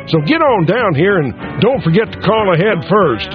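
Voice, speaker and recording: A man's voice: sits high, very animated, normal speed, faint music behind. A man hosting a radio station, giving a folksy, homey on-air promo and asking listeners to call in and donate. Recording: dull lo-fi radio broadcast.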